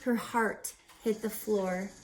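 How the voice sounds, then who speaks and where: A woman reading a rhyming children's picture book aloud as a teacher, with theatrical, deliberate, emphatic phrasing. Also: mid-range voice, fairly even intonation, rough recording. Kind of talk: expressive children's read-aloud of rhyming verse.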